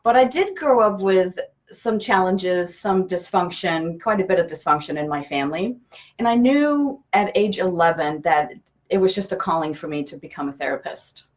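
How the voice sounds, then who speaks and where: A woman's voice: lowish voice, lively intonation, moderate pace. A woman from Denmark whose first language is Danish, answering conversationally as an interview guest and therapist.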